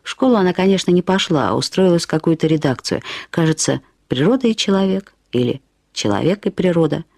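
An actress narrating a short story as a woman fondly and ironically recalling a friend, with expressive, slightly husky delivery. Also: on the low side, fairly quick, no echo, studio quality. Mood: reminiscing, wry. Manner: acts out quoted remarks with lively intonation. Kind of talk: expressive literary storytelling, first-person reminiscence.

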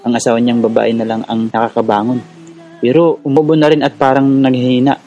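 Actor as earnest young Filipino village activist, music behind. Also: male voice, mid-range voice, little pitch movement, fairly quick, mellow, muffled recording, close to an everyday voice. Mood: earnest storytelling. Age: young adult. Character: earnest young male village activist telling his story. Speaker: narrator and lead character. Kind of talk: Filipino radio drama narration. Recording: music under the voice.

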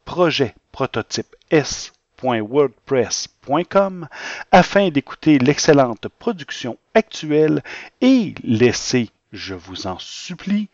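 A man hosting a podcast segment and interview, with florid, earnest and deliberate phrasing. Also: on the high side, big pitch swings, a little mellow, dry recording. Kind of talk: scripted podcast presentation and informal interview. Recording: slightly dull, dry recording.

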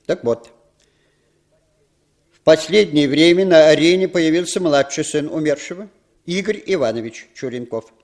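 Male spymaster, played by an actor, fairly high voice, commanding and slyly ironic, theatrical 1960s Soviet radio play style. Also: moderate pace, pauses often, close to an everyday voice. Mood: ironic, instructive. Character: male Western spymaster chief, urbane, cynical, commanding.

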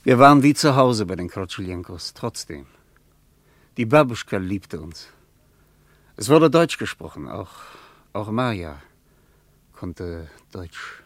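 An actor playing a wistful traveler searching through his past in a radio drama, speaking in halting, broken phrases. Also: fairly low pitch, animated, no room sound. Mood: wistful, reminiscing.

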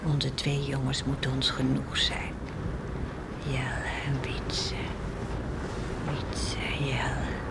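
Slow-spoken, worried farmer's wife. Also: female voice, rumbling low, completely flat delivery, creaky, exaggerated voice. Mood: sorrowful, hushed. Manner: earnest, musing aloud to herself. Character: devout worried farmer's wife and mother, resigned, caring. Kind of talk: Dutch radio play acting, monologue and dialogue. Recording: faintly noisy radio play recording.

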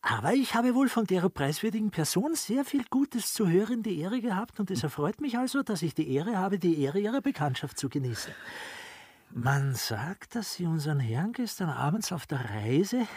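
Husky, sly, fawning robber. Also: male voice, sits high, very animated, moderate pace, a little mellow, dry recording, heavily acted. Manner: obsequious, clumsily wordy. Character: sly, fawning, bungling male robber posing as estate steward. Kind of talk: German radio play, comic period acting.

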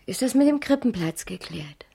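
Woman partner in radio drama; husky, breathy, highly animated, emotional but questioning. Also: moderate pace, exaggerated voice. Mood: sceptical. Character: teacher's female partner, emotional yet questioning. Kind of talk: 1970s German radio drama acting.

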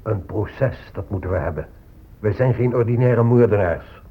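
An actor playing a stern, coaxing colonial zealot with a declamatory, persuasive manner in a radio drama. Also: male voice, fairly low pitch, normal speed. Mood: pompous. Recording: tinny, phone-like old radio recording.

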